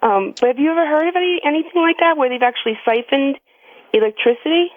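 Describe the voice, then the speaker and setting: A woman's voice: high voice, lively intonation, fast, no room sound. An American woman calling in to a radio show, chatting casually and giggly. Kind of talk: casual chat. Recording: tinny phone line on radio.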